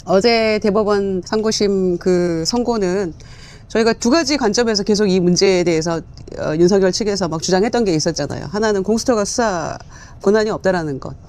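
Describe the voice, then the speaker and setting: A woman lawmaker on a panel, explaining a court ruling in a measured way. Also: mid-range voice, a little mellow. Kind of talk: political commentary.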